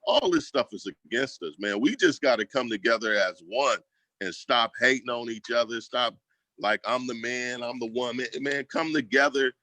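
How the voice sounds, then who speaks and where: A man's voice: on the low side, pushed and bright, no room sound. An American man speaking casually in an interview as a school equity advocate, with an emphatic, colloquial manner that leans on repetition. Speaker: school district equity staff member and community advocate.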